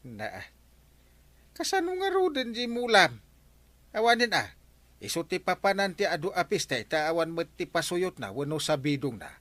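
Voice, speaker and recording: A man playing an excitable, exasperated village farmer with an Ilocano accent, scolding in a radio comedy drama. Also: high-pitched, normal speed, dry recording. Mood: questioning.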